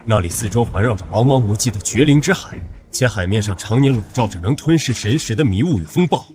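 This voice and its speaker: A man voicing a dignified old cultivator elder with formal, archaic speech in an animated fantasy drama. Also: fairly low pitch, quick pace, faint music behind, close to an everyday voice. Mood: grave, cautioning. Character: dignified, awed old cultivator father-in-law, centuries old. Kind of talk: animated xianxia drama dubbing.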